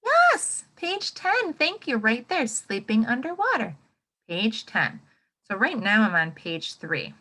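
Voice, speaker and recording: Female primary-teacher type for children's read-aloud: slow pace, bright tone, expressive, questioning the listeners. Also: fairly high pitch. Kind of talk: interactive children's nonfiction read-aloud lesson.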